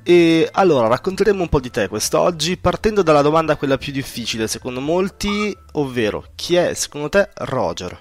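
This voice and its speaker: A man's voice: on the high side, big pitch swings, a little fast, hardly any pauses. A man with an Italian accent hosting a conversational radio interview in a chatty, agreeable manner. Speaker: radio show host.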